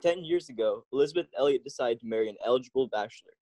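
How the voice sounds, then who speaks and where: A man's voice: medium pitch, animated, rapid-fire, a loud crowd, very noisy. A man announcing a scripted old-time radio comedy as its narrator.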